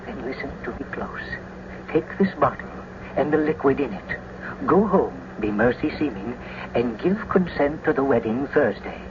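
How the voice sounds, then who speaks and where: A man's voice: medium pitch, animated, moderate pace, lightly acted. A man playing an earnest, fatherly friar in a classical tragedy, with an urgent, declamatory delivery. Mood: urgent, reassuring. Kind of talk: classical tragedy radio acting. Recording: muffled, noisy old radio recording.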